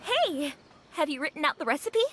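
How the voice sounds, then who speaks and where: Perky, high-pitched restaurant girl. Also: big pitch swings, brisk, sharp tone, a little hiss or hum, full character voice. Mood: excited. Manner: bubbly, exclamatory, friendly. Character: perky, eager young female restaurant keeper. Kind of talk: video game voice acting.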